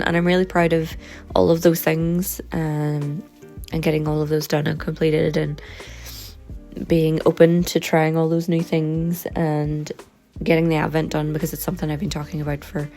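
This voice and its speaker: A woman chatting casually in a vlog, her voice slightly hoarse and croaky, rambling in a relaxed way with frequent ums. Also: sits low. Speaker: vlogger and sticker and journal maker.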